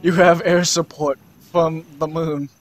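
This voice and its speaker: A man's voice: on the high side, level tone, a little slow. A man casually chatting with a co-op partner as he plays a game, offhand and mildly profane. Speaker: gaming channel player. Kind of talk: casual co-op gameplay chat. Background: game music and sound effects.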